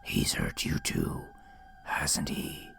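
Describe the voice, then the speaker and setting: A man playing a calm, righteous, menacing masked killer in a horror audio drama, speaking slowly in a breathy, low whisper. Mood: ominous, knowing. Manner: slow, hushed, deliberate.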